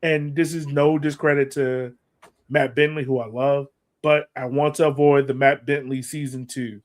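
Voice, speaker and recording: A man's voice: medium pitch, level tone, normal speed. A man hosting a sports podcast, giving casual fan commentary with playful, acted-out banter.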